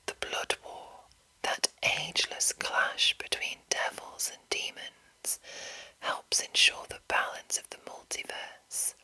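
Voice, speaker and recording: Female ASMR host, crisp-edged bright whisper, unhurried and soothing, throat sore and cracking. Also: rough recording. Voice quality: breathy unvoiced whisper. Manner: slow, soothing, deliberate delivery. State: under the weather with sore throat and breaking voice. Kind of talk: whispered ASMR, reading and commenting.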